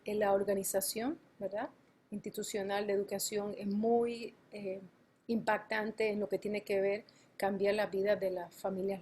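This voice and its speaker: Halting woman giving a conference talk. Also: mid-range voice, a little mellow, husky. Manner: halting, frequent fillers and self-corrections. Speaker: college campus administrator presenting on a panel. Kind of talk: conference presentation. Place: conference panel, remote or on stage.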